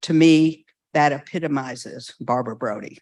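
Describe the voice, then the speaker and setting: A man giving a measured tribute at a memorial in a list-like cadence. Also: mid-range voice, darkish tone. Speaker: speaker at a memorial.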